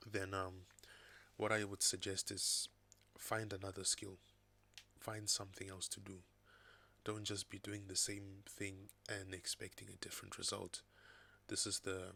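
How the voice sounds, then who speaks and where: A man with a low, gravelly voice, rough and raspy while he gets over an illness. He talks in an even, level tone, thinking aloud at his desk in a loose, casual way. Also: slight background noise. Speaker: vlogger. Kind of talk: casual talking-head vlog. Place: home desk with laptop and second screen.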